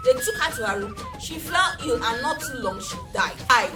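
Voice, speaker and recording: Distant mic under loud music, Nigerian woman, children's storyteller, high voice, hugely expressive delivery, dramatic. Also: normal speed. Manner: animated, dramatic, asks listeners questions. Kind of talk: interactive folktale storytelling for children.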